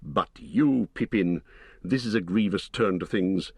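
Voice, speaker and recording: A man voicing a stern old male wizard who speaks gravely and slowly. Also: deep voice, lively intonation, a little mellow, no room sound, studio quality, heavily acted.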